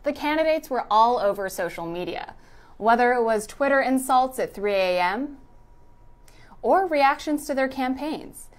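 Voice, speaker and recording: A woman's voice: on the high side, animated, measured pace. A woman reading a scripted narration in the style of a news recap.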